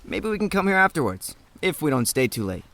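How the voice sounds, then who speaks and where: A man's voice: fairly high pitch, sing-song, a little fast, gravelly, fairly bright tone, full character voice. A man playing an earnest, devoted young student in an audio drama. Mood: sincere, easygoing. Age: young adult.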